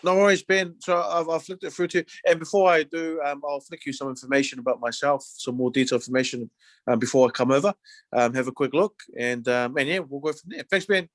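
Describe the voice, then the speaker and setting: A man with a New Zealand accent speaks as a real estate agent in a relaxed, conversational way. His phrasing is friendly and loose, full of small verbal padding. The sound is dry, with very little room around it. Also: a little high, fast talker, no echo. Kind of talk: casual, conversational sales talk.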